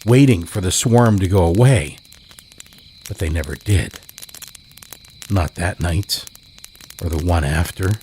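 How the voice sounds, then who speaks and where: A man narrating a horror story in a measured, deliberate way as a podcast host. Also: on the low side, a little mellow, husky.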